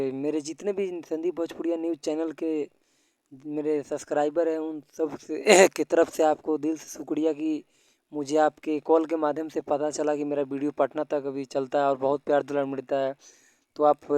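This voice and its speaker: A man's voice: fairly high pitch, a little mellow, smooth, no echo. A man speaking on an informal recorded phone call, explaining things politely and at length. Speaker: YouTube news creator.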